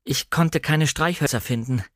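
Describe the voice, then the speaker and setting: A man's voice: fairly high pitch, moderate pace, dry recording, clean studio sound, pitched above the performer's own voice, close to an everyday voice. A man reading as a shy, apologetic young lady. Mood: embarrassed, apologetic. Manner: hesitant, polite, self-effacing. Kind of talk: audiobook character reading.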